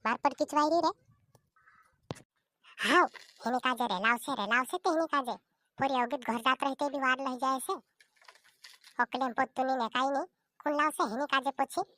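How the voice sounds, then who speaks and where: A high, dry-sounding voice of a woman acting as a bickering villager in a cartoon comedy. The character scolds and argues, going over the same phrases again and again. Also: brisk, long pauses, a bit bright, no echo.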